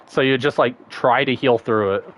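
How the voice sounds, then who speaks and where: A man's voice: medium pitch, moderate pace, poor audio. A man streaming a game, chatting casually and rambling, laughing often and swearing now and then. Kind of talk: casual gaming stream chat.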